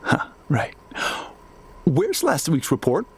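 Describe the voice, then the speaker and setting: An actor playing a stern, suspicious boss in an audio drama, curt and demanding, with a husky voice. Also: male voice, a little high, huge pitch swings, slow pace, a little mellow, full character voice. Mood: stern, impatient. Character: stern, suspicious male boss pressuring an underling.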